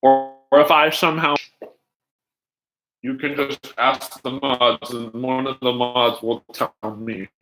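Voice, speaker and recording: A man hosting a livestream in casual chat, rambling haltingly and jumping between asides as he talks to viewers. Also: mid-range voice, little pitch movement, pushed and bright, faint music behind, low-quality recording. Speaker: livestream host.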